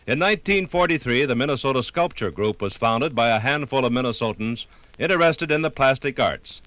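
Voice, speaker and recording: A man reading scripted 1950s radio documentary narration in a formal, measured manner. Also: medium pitch, lively intonation, a bit bright, no room sound.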